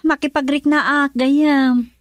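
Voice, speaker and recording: A woman's voice: sits high, fairly even intonation, slow pace, no room sound. A woman playing a concerned friend who gives earnest advice in a radio drama, with a Filipino accent. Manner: earnest, advising. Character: concerned female confidante counselling her lovelorn friend. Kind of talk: Ilocano radio drama acting.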